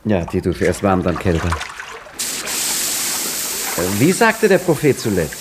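An older actor playing an old caretaker and storyteller, dry and ironic, in a 1950s radio drama. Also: male voice, deep voice, wildly swooping pitch, fairly quick, background noise. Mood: wry teasing. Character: old male caretaker, wry wise storyteller. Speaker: caretaker telling a tale.

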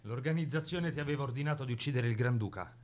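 An actor in a radio drama playing a hardline revolutionary, sardonic and unforgiving, clipped and accusing. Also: male voice, medium pitch, fast talker, lightly acted. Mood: stern, accusing. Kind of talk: theatrical radio drama acting.

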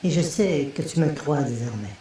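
A grave alien woman of a dying race, acted by a man in an audiobook. The voice sits fairly high for a male reader and is swamped in heavy echo, with some hiss. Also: expressive, moderate pace, dark tone, natural voice. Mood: grave revelation. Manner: grave, explanatory. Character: solemn female alien of a dying race, revealing a truth. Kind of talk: dramatic audiobook character dialogue.

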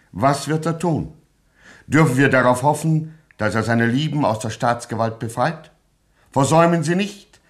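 A man narrating a radio play in a melodramatic, mock-epic and suspenseful manner. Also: medium pitch.

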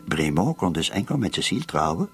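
An actor playing a shrewd, sceptical police commissioner with a husky voice in a radio drama. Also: male voice, low-pitched, sing-song, a little fast, fairly bright tone, faint music behind, full character voice. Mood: evasive, domestic. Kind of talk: Dutch-language radio drama dialogue.

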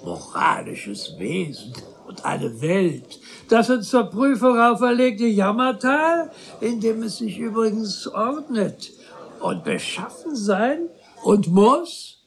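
Pompous, high-voiced, creaky provincial gentleman. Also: big pitch swings, heavily acted. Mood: scornful. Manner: effusive, rhetorical, with drawn-out pauses. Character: pompous, chatty provincial gentleman at a society gathering. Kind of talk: German radio drama acting.

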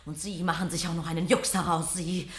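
A woman's voice: fairly low pitch, steady tone, brisk, lightly acted. An actress playing a haughty, easily offended married woman in a 1970s radio play, scolding in a huffy manner. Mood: scornful. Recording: echoey, slightly noisy old radio recording.

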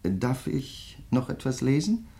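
An actor playing a polite, insistent crime novelist in a German radio play, speaking in a measured, deliberate way. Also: male voice, medium pitch, big pitch swings, creaky, warm tone, full character voice. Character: courteous, insistent male crime novelist probing a fellow writer.

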